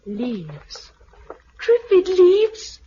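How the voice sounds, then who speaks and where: A woman's voice: extremely high pitch, huge pitch swings, very slow, background noise, full character voice. A woman playing an earnest, watchful eleven-year-old English farm girl in a 1950s British radio drama. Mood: uneasy. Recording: muffled 1950s radio recording.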